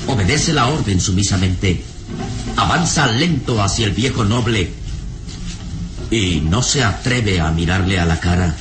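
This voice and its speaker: A man's voice: on the low side, lively intonation, measured pace, noisy background. A man narrating an old radio serial in a dramatic, scripted style. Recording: vintage radio serial, loud music behind.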